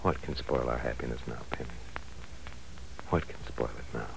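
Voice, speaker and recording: A man's voice: rumbling low, normal speed, vocal fry, exaggerated voice. A man playing an ambitious young husband in an old radio drama, speaking tenderly and coaxingly to his wife. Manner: tender, coaxing, drops to a whisper. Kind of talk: 1940s radio acting. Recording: faint hiss of an old radio recording.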